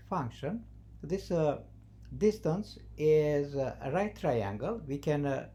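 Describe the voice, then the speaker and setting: A non-native English speaker teaching math, thinking aloud haltingly and repeating phrases while writing out the steps. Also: mid-range voice, dark tone. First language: not English. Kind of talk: math lesson, working through a problem at the board. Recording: noisy, with a constant hum.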